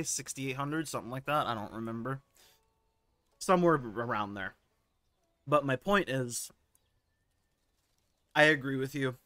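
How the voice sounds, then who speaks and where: A hoarse man with a scratchy, sore-throated voice talks through gaming forum posts in a casual, candid way. His pitch sits mid-range for a man, and faint music plays underneath. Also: a bit bright. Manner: laid-back, candid, occasional swearing. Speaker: gaming video host.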